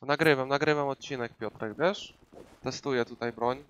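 A man's voice: mid-range voice, fairly quick, a bit bright, lo-fi sound, slight background noise. Polish guy streaming a shooter, headset mic at home, chatty and loose with fillers, faint gunshots under him. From Poland. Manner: casual, switches between English and Polish, many fillers. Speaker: gaming streamer. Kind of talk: live gameplay commentary.

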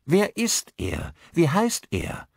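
A man's voice: fairly high pitch, huge pitch swings, slow, creaky, husky, no echo, studio quality, pitched above the performer's own voice, exaggerated voice. A man voicing a polite, questioning male newcomer to a village in an audiobook. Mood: insistent.

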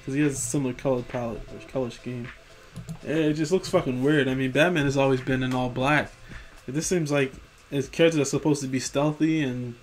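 A man's voice: mid-range voice, darkish tone. A young man rambling casually about collectible figures, profane and tired after work, tripping over names. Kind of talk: casual commentary over screen images. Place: home room.

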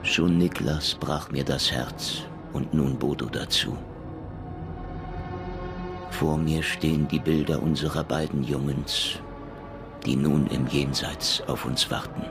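A man's voice: rumbling low, steady tone, takes it slowly, exaggerated voice. An actor playing a tender, pious middle-aged husband and father, solemnly voicing intimate letters in a historical radio drama. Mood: grieving, solemn. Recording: loud music under the voice.

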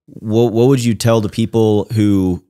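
Podcast host, man, lowish voice, easygoing conversational questioning. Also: steady tone, measured pace, warm tone. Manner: conversational, acts out quoted dialogue, many "like". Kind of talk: casual interview chat. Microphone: studio mic with headphones.

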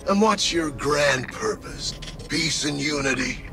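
Video game ghost villain's sidekick: wisecracking, self-serving, slow and swaggering, creaky edge. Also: male voice, on the high side. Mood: mocking. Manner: sardonic, slangy, swaggering. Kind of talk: video game character dialogue. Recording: loud music behind the voice. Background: game music.

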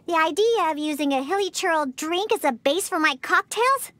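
A woman's voice: extremely high pitch, animated, moderate pace, fairly bright tone, no echo. A woman voicing a sassy, grumpy cat-eared little girl bartender in a video game, huffy and haughty. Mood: smug, confident. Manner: huffy, haughty, emphatic delivery. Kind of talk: scripted video game dialogue. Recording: music behind. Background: background game music.

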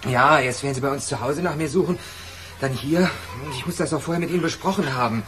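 Man acting fast-talking anxious young crook. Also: fairly high pitch, noisy background, natural voice. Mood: anxious, pleading. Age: young adult. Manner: hurried, pleading, evasive. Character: anxious young petty car thief pleading for help. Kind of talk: German radio crime drama acting.

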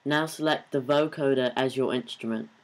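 A man co-presenting a software tutorial, giving instructional narration. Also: medium pitch, moderate pace, rough recording.

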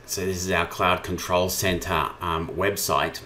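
Man, low voice, software trainer, informal step-by-step walkthrough. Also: little pitch movement, measured pace, slight background noise. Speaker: software trainer presenting a tutorial. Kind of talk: informal screen-share walkthrough, explaining step by step.

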